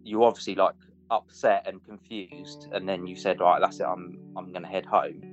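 A man's voice: deep voice, flat delivery, moderate pace, dull audio. A man telling a personal story casually and a little hesitantly, as if to a friend. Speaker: interviewee, friend of the interviewer. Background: guitar music.

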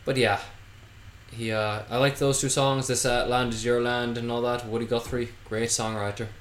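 Male podcast co-host, mid-pitched, relaxed and wry; roomy recording with faint noise. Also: moderate pace. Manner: laid-back, wry, swears freely. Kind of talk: casual movie chat.

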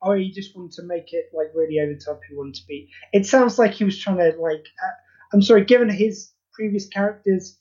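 Animated podcast guest quoting others. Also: mid-range voice, fairly quick. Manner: animated, quotes others in acted voices, frequent fillers. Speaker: podcast guest, audio drama creator. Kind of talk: casual chat. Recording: slightly dull lo-fi audio.

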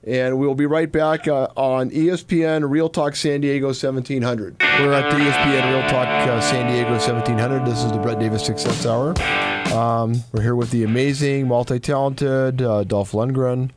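A man's voice: medium pitch, little pitch movement, moderate pace, music in the background. A man hosting a radio interview show, upbeat and repeating his questions as he steers the talk. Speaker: radio host.

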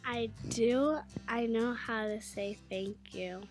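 A woman's voice: on the high side, slow pace, a little mellow, faint music behind. A young woman, the guest's daughter, answering questions hesitantly and with ums.

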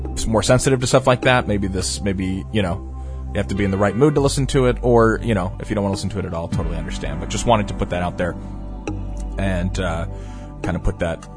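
A young man with a very low, heavy voice hosts a podcast while audibly congested and under the weather. He rambles earnestly through his points with plenty of hesitations, and faint music sits underneath. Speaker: podcast host. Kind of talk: casual podcast chat.

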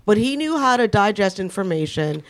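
A woman's voice: medium pitch, very animated, moderate pace. A woman in a political discussion, blunt and pointed, with some swearing. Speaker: panelist.

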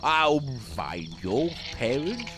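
A man voicing a creepy, babbling male wood spirit that speaks in drawn-out nonsense sounds. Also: on the high side, huge pitch swings, background noise, heavily acted. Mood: eerie. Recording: loud music behind.

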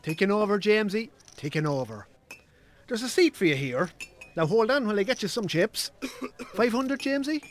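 An actor with an Irish accent playing a smug poker ringleader in a radio drama, mock-friendly and needling. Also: male voice, high-pitched, expressive, moderate pace, slight background noise. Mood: jovial. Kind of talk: naturalistic radio drama acting.

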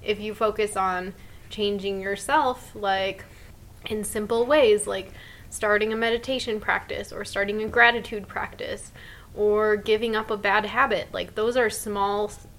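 A woman's voice: medium pitch, fairly even intonation, measured pace, fairly bright tone. A woman in her thirties co-hosting a casual podcast chat, talking earnestly and upbeat with a lot of "like". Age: 30s. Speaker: podcast co-host.

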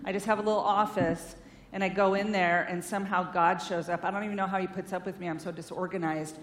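A woman's voice: fairly even intonation, quick pace. A woman giving an informal, earnest sermon-style talk at a church, chatty and full of fillers, on a stage microphone. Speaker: guest speaker at a church, counselor. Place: large hall in a church. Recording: echoey hall, faint rumble.

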